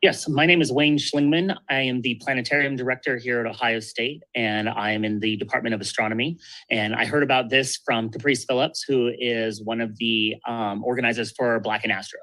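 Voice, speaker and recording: Planetarium director guy, matter-of-fact intro, middle pitch. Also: fairly even intonation, fairly quick, pushed and bright, low-quality recording.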